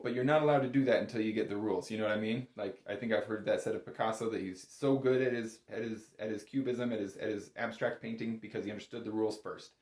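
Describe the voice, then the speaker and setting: Man, lowish voice, music instructor, informal step-by-step explanations, reassuring, echoey room recording. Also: a little mellow. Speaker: bagpipe instructor. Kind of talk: casual step-by-step music lesson.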